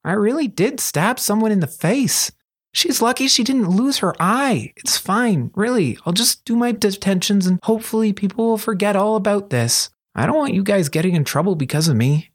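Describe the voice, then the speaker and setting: A man's voice: high-pitched, expressive, normal speed, slightly rough, dry recording, studio quality, voice pushed up. A man voicing a defensive, self-deprecating young wizard schoolboy who protests and mumbles, in a storybook reading. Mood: resigned.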